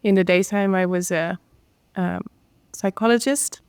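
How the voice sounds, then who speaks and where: A woman from the Netherlands, a singer and composer telling her story in a relaxed way, with many pauses. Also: medium pitch, clear, resonant tone, no echo. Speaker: guest, jazz singer and composer. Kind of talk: casual interview chat.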